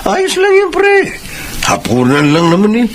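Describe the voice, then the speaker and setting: An actor playing a cheeky, eager buddy in a radio drama. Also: male voice, high voice, huge pitch swings, moderate pace, slight background noise. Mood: playful. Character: cheeky, eager buddy nudging his friend along.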